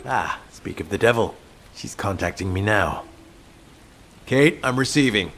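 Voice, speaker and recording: An actor playing an earnest field commander in a video game, serious, brisk and commanding. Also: male voice, fairly low pitch, lively intonation, a bit bright, faint music behind. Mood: urgent. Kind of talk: dramatic video game cutscene acting.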